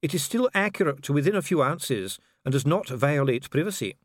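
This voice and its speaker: A man's voice: fairly high pitch, big pitch swings, brisk, no room sound, studio quality, pitched above the performer's own voice. A man voicing a solemn, disdainful dwarf official in an audiobook, formal, precise and pompous. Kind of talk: audiobook character acting.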